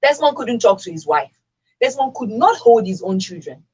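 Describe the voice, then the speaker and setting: A woman's voice: a little high, big pitch swings, normal speed, warm tone, slightly rough, highs cut off. A Nigerian woman hosting a radio talk discussion, speaking emphatically and with strong opinions, repeating phrases to drive her points home. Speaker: radio show host.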